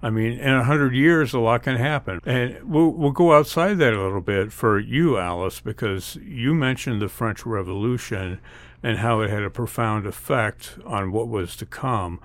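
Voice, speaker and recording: A man hosts a panel and guides the guests in an easy, conversational way. His voice sits at a middle pitch. The sound is dry and close, with no room echo. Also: moderate pace. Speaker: host, moderator. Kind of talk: moderating a panel discussion.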